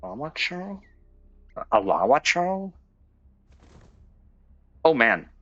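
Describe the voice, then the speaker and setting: A man's voice: mid-range voice, big pitch swings, slow pace, a bit bright. A man casually commenting as he plays a game on a livestream. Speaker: game streamer. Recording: slightly dull, band-limited sound.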